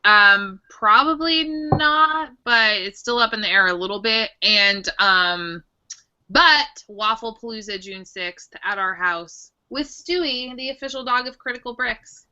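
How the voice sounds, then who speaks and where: A woman with a high, piercingly bright voice banters casually on an online panel. She teases and gets crude. The audio is dull with faint noise behind her. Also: a little slow. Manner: teasing, irreverent, crude banter. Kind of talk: casual group chat.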